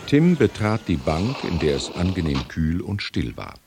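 A man's voice: low-pitched, sing-song, moderate pace, background noise. A middle-aged man narrating a detective radio play for young listeners as a composed storyteller.

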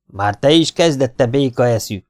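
A man voicing a fiery, bossy middle-aged housewife who scolds in a shrill voice. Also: lightly acted. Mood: scolding.

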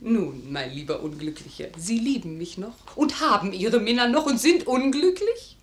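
A woman's voice: medium pitch, animated, normal speed, close to an everyday voice. An actress playing a young noble lady in a 1950s radio play, joyful, playful and stubborn in love, speaking with theatrical feeling. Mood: teasing, tender. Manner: emotional, theatrical, teasing.

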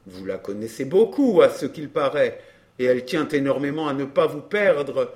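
A man playing a courteous, ironic gentleman in a dramatised audiobook, speaking politely and with phlegmatic calm. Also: mid-range voice, moderate pace, close to an everyday voice. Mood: calm irony. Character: Baron de Fresnay, unflappable, courteous, ironic gentleman.

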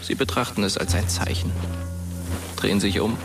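An actor playing a dead teenage boy who narrates a German radio drama as a wry, wistful ghost in a hushed, measured way. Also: on the low side, steady tone, close to an everyday voice. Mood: wistful, observant. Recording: music under the voice.

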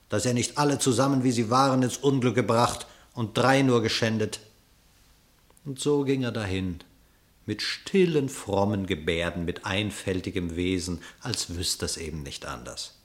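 A man narrating a classic verse fable in a radio play, measured and expressive. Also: medium pitch. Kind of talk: scripted storytelling narration in verse.

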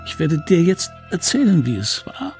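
An actor playing a middle-aged man who looks back on his childhood in a radio play, reflective, confiding and gravelly. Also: fairly high pitch, sing-song, normal speed, darkish tone, faint music behind. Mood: grieving, remorseful. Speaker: narrator. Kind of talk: dramatic first-person radio play narration.

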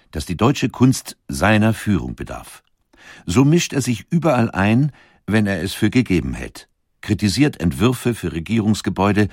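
A man reading scripted documentary narration in a measured, articulate storytelling manner. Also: lowish voice, darkish tone, no echo, studio quality.

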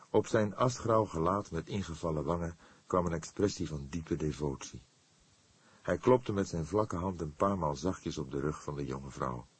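A male narrator reads a crime novel in a deep, husky voice. The recording is dry and close, and the delivery is that of dramatic scripted storytelling. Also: no room sound. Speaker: audiobook narrator.

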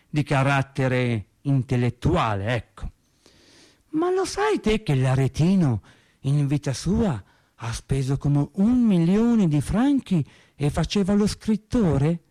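Embittered Tuscan factory worker for radio drama: high, swooping voice, colloquial, digressive storytelling. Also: male voice. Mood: wry, rambling. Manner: digressive, self-correcting, repeating phrases for emphasis. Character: embittered, rambling factory worker recounting workplace life. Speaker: actor in a stage monologue for radio theatre. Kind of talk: theatrical monologue, colloquial storytelling.

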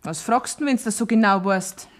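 A woman's voice: mid-range voice, normal speed, close to an everyday voice. An actress playing a scheming, brazen young farm woman in a German radio drama, insolent and self-assured, with a Bavarian accent. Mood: defiant, smug.

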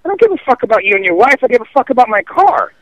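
A man's voice: extremely high pitch, very animated, very fast, no room sound, dull audio. A man on the receiving end of a prank call, talking bluntly and asking questions in an unscripted phone conversation.

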